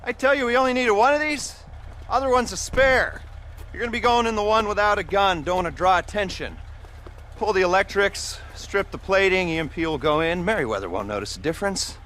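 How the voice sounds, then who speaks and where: A man's voice: high voice, expressive, fairly quick, fairly bright tone, slight background noise. An actor playing a dry-witted male heist planner in a video game cutscene, briefing his crew with wry, sarcastic asides. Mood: sardonic.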